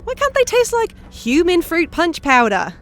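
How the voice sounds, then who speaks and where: A woman's voice: extremely high pitch, huge pitch swings, moderate pace, exaggerated voice. A woman playing a feisty, indignant fantasy woman who complains emphatically in an audio drama. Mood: grumbling. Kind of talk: audio drama character acting.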